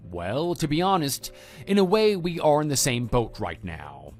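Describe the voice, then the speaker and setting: A man voicing a stern, principled former schoolteacher in a scripted audio drama, speaking in a measured, matter-of-fact way. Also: fairly high pitch, huge pitch swings. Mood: firm, reproving. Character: stern, principled male former homeroom teacher, calm and reproving. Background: background music.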